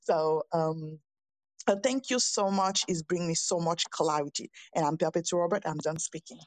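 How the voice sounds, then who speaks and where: A woman from Haiti, a naturopath sharing hesitantly with many pauses in an online group. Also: fairly low pitch, no room sound. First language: French. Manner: hesitant, many fillers, warm thanks. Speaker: naturopath attending a session. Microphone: online call.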